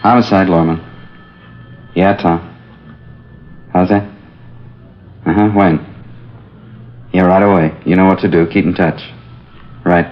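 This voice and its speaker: Deep-voiced, businesslike police captain. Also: male voice, steady tone. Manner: measured, businesslike, plain-spoken. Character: steady, practical male police homicide captain. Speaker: actor in a police radio drama. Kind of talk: 1950s radio acting. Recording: muffled vintage 1950s radio recording.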